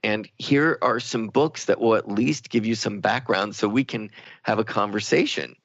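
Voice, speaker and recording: Male co-host, low-pitched and earnest, conversational and reflective, on dry, slightly muffled radio audio. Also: moderate pace, creaky, dry recording.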